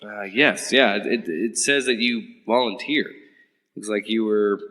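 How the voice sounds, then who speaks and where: A man's voice: on the low side, a little slow, sharp tone, in a large hall. A man playing an earnest, slightly awkward government agent who asks questions politely and hesitantly. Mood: questioning. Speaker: interrogating agent. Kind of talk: audio drama acting.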